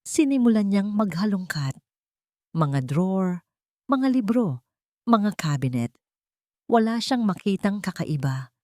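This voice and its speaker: A woman's voice: mid-range voice, big pitch swings, measured pace, long pauses, dark tone, dry recording, clean studio sound. A woman reading scripted dramatic story narration as the narrator of an audio drama.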